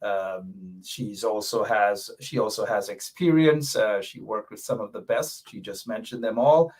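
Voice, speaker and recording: A man's voice: on the low side, unhurried, husky. Rambling male talk show host. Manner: rambling, lots of fillers, calls out viewers by name. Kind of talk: casual talk show hosting.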